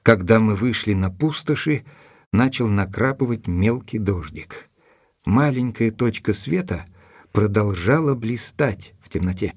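An actor playing a composed gentleman narrating his own adventure in a measured way for an audio drama. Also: on the low side, expressive, breathy edge, dry recording, studio quality, full character voice. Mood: measured suspense. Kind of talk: scripted first-person audio drama narration.

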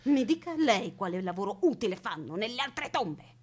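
A woman's voice: mid-range voice, big pitch swings, normal speed, slight background noise, close to an everyday voice. An actress playing an eccentric, reclusive woman who keeps a man chained in her house, teasing and whimsical in a radio play. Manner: whimsical, teasing, matter-of-fact about absurd things. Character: eccentric reclusive woman jailer, gleefully odd. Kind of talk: radio theatre acting.

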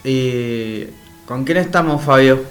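A man with a mid-pitched voice presents a radio programme. His announcing is casual and informal. Music sits behind the voice. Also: slow pace.

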